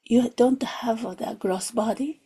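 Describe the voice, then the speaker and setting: A woman leading a guided meditation in a soft, gentle, hushed way, often near a whisper, with long pauses. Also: on the high side, breathy edge. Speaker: meditation teacher. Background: music.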